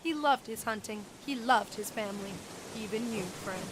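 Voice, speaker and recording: A woman's voice: on the high side, close to an everyday voice. Woman acting a yacht captain narrator, noisy background. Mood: storytelling. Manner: measured storytelling. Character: dramatic yacht captain recounting a murder mystery. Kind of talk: scripted audio drama narration.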